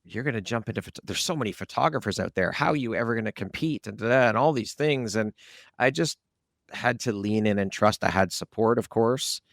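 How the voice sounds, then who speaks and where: A man hosts a conversational podcast with a fairly low voice and a lot of gravelly fry. He is candid and informal. The sound is very dry, with no room around it. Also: lively intonation, fairly quick, fairly bright tone, no room sound. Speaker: podcast host.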